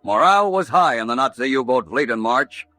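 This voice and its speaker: A man's voice: medium pitch, animated, a little fast, bright, forceful tone, soft background music. A man delivering emphatic, urgent scripted narration in a dramatic 1940s radio style.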